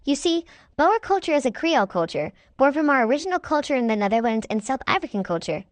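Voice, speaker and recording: A woman's voice: high voice, big pitch swings, fast, dry recording. A woman voicing a proud Boer puppet in an explanatory way, in a scripted amateur puppet show.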